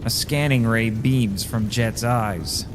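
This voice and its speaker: A man playing a sardonic crash survivor in an audio drama, narrating his own story with wry, theatrical, deliberate delivery. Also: mid-range voice, long reverb tail, background noise, lightly acted. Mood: earnest, bewildered. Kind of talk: first-person audio drama narration and dialogue.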